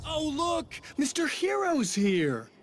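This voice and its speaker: A sneering evil double, high and swooping, mocks the hero, game villain. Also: male voice, wildly swooping pitch, takes it slowly, slight background noise, heavily acted. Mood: mocking. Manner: mocking, gleeful. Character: taunting evil male doppelganger.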